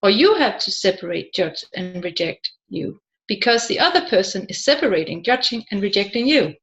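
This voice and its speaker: A woman reading a scripted audiobook in a measured, deliberate way, phrase by phrase. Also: mid-range voice, big pitch swings, a bit bright, rough recording. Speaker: audiobook narrator.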